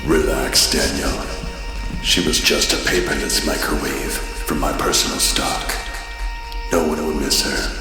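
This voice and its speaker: A very deep, level male voice drenched in echo. He is a callous radio drama villain, played by a man, dismissing others coldly. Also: level tone, measured pace. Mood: cold, dismissive. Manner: cold, dismissive. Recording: heavy echo over loud music.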